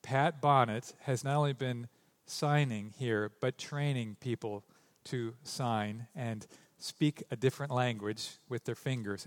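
Male pastor, mid pitch, conversational sermon delivery with restarts, church mic. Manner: loose, joking asides with stumbles and restarts. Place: church sanctuary. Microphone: stage microphone.

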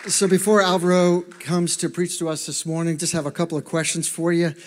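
A man's voice: fairly high pitch, level tone, a little fast, a faint crowd. A man serving as church pastor, introducing a guest preacher and asking him questions, with an affirming manner. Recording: long church-hall reverb.

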